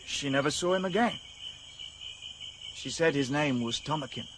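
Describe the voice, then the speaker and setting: A man's voice: fairly high pitch, background noise, close to an everyday voice. An actor playing an earnest young man raised in a remote village, speaking solemnly in a radio drama. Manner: solemn, earnest, halting delivery. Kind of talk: BBC radio drama acting. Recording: insect and cricket ambience.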